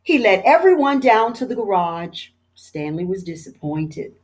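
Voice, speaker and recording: A woman, a teacher, reading a children's picture book aloud in an expressive storytelling manner. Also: mid-range voice, a little slow, low-quality recording.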